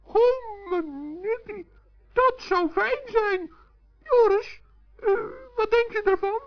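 Wee friendly gnome guy, squeaky high, earnest, muffled old kids radio play. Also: slow pace, exaggerated voice. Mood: kindly, pleased. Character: good-natured, earnest little male forest gnome. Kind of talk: 1960s children's radio play acting.

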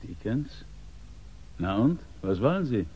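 Brusque police sergeant with swinging intonation. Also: male voice, on the low side, big pitch swings, a little slow, dark tone, slightly rough, full character voice. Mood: gruff, sceptical. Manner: brusque, dismissive, curt. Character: gruff, sceptical English police sergeant on night desk. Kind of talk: 1960s German radio crime drama acting. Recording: dull 1960s radio recording.

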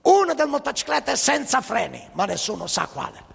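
Boastful Texan daredevil acted by a man, blustering broken grammar, gravelly voice, long hall reverb. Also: very high voice, very animated, fairly quick, pitched above the performer's own voice, heavily acted.

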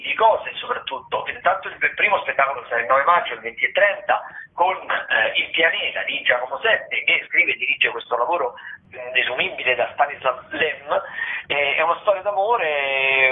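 A man presenting a semi-improvised rundown of listings on the radio, in a halting delivery with drawn-out words. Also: on the high side. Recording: thin phone-like band-limited radio sound.

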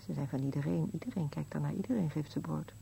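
An actress playing a wistful, plaintive elderly wife in a radio play, questioning insistently and sometimes muttering. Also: female voice, low voice, fast, creaky, warm tone, breathy edge, heavily acted. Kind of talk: Dutch-language radio play acting.

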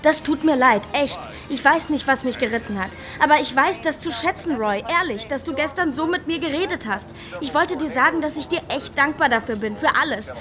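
Young streetwise girl in a noir radio play, highish voice, slangy and halting, kinda vulnerable, music behind. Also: animated. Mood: urgent, pleading. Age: young adult. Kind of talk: noir radio drama dialogue.

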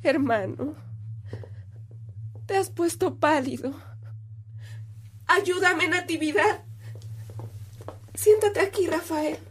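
An actress playing a priest's tender, worried sister in a radio drama, anxious and careful. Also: female voice, very high voice, slow, pauses often, slight background noise.

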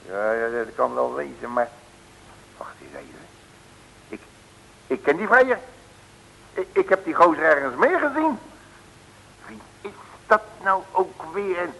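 An actor playing a bumbling, folksy, excitable male detective who blusters and stammers in a vintage comic radio serial. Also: on the high side, measured pace, gravelly, long pauses, slight background noise, exaggerated voice. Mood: excited. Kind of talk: 1960s Dutch comic radio serial acting. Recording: old radio recording, long reverb.

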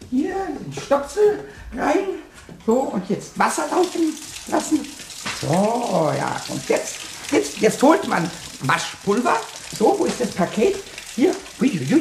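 A man playing a childish, mischievous little kobold in a children's radio play, boastful and whiny, stammering and repeating words excitedly. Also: very high-pitched, a little slow, gravelly, slight background noise, exaggerated voice.

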